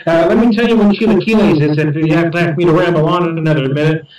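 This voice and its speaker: A man's voice: a little high, fast talker, roomy sound, far from the mic, a crowd in the background, rough recording. A man hosting a casual chat show, rambling with many repeated words and restarts. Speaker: podcast host reviewing beer.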